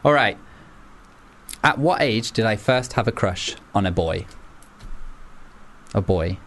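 A man's voice: lowish voice, lively intonation, slow, fairly bright tone, slight background noise. A young man hosting a podcast quiz, playful and teasing, laughing often. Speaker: podcast host. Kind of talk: casual chat, quiz game.

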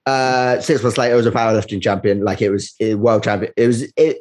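A man's voice: lowish voice, steady tone, quick pace, darkish tone. A young man chatting casually as a comedian guest, with a dry, joking way of talking. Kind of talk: casual comic chat.

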